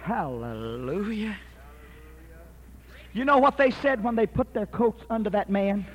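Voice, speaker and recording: A man preaching a sermon in an emphatic, rhythmic style that rises to shouted peaks. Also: high-pitched, lively intonation, measured pace.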